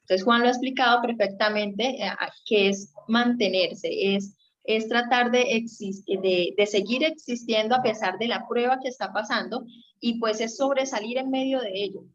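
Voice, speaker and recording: A woman's voice: on the high side, steady tone, normal speed. A young Colombian woman giving a conversational teaching talk as a guest, explaining things and checking for agreement as she goes. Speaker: guest speaker on a marriage radio segment. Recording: slightly dull, lo-fi sound.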